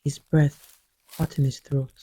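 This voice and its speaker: A man narrating a scripted horror folktale as a storyteller, in a grave, suspenseful manner with a husky voice. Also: on the high side, flat delivery, dark tone, slight background noise. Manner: slow, grave, suspenseful delivery.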